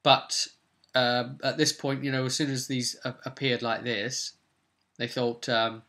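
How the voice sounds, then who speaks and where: A man casually recapping his tabletop game as game master, rambling and breaking off into animated asides to viewers. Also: medium pitch, measured pace. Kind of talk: casual video recap, storytelling.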